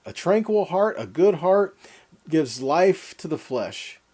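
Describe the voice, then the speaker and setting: Animated male Bible teacher, mellow voice. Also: on the high side, slow pace. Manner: emphatic, dramatic stresses, rhetorical questions to listeners. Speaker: Bible teacher giving a weekly Torah portion lesson. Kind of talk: teaching sermon with scripture readings.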